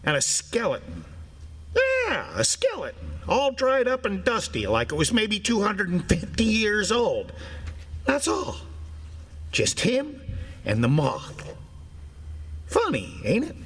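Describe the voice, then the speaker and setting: An actor playing a flustered, folksy forest ranger who stammers and speaks colloquially, in old-time radio drama style. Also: male voice, sits high, huge pitch swings, slow pace, long pauses, a bit bright, slightly rough, exaggerated voice. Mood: shaken, bewildered.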